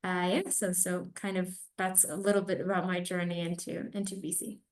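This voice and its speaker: A woman's voice: fairly low pitch, little pitch movement, normal speed. A woman from Kenya giving conversational answers as an investor being interviewed on a webinar call. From Nairobi, Kenya. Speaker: venture capital investor, interview guest. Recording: roomy echo on webinar call.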